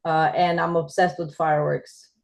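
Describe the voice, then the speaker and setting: A woman's voice: low voice, little pitch movement, moderate pace, creaky. A middle-aged woman from Romania with a Romanian accent, introducing herself to a club in a chatty way with laughing asides.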